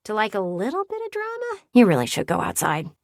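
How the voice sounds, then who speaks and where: A woman's voice: mid-range voice, wildly swooping pitch, brisk, gravelly, no echo, studio quality. A woman voicing a talking house window in a video game, a nosy, sassy female gossip who tells stories in a theatrical, conspiratorial way with teasing asides. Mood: wounded, defensive.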